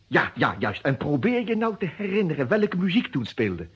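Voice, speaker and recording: Husky, excitable accused man, swooping. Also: on the high side, normal speed, exaggerated voice. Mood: excited, pleading. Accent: Netherlands Dutch. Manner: excitable, emphatic, repeats words. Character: agitated, indignant male lawyer suspected of murder, pleading innocence. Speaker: actor in a detective radio play. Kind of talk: vintage Dutch radio drama acting. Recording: dull, muffled old radio recording.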